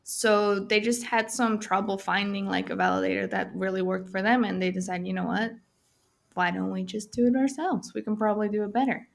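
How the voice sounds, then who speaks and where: A woman from the Netherlands with a Dutch accent, a guest in a casual interview, speaking haltingly with many fillers and little laughs. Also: medium pitch, steady tone, vocal fry. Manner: hesitant, many fillers, laughs often, switches between Spanish and English.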